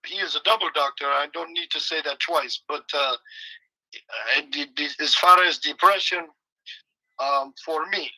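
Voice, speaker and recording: Bright, sharp-toned man in halting English. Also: on the high side, rough recording. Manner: halting broken English, deadpan jokes, slow deliberate phrasing. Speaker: guest co-host. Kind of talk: rambling comic chat turning to guided meditation.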